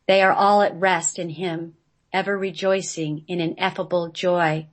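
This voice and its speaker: A woman reading a scripted mystical text aloud in a solemn recitation, as a guest on a radio show. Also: on the low side.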